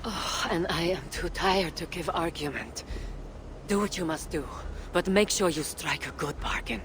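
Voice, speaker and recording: An actress playing a solemn, mystical seeress in a video game, weary but firm, speaking in a measured, portentous way. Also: female voice, fairly low pitch, noisy background, lightly acted. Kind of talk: cinematic video game acting.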